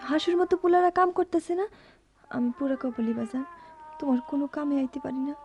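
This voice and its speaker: An actress playing a caring, self-blaming young daughter in a radio drama, speaking colloquial rural Bangladeshi Bengali. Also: female voice, high-pitched, quick pace, darkish tone, faint music behind. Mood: plaintive.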